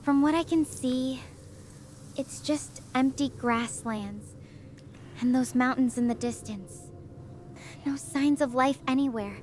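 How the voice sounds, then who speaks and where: A woman's voice: high-pitched, darkish tone, slight background noise. An AI woman voicing a stranded researcher. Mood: earnest. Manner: earnest, measured explanations. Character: stranded young woman researcher, earnest, anxious survivor. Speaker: astrophysics researcher.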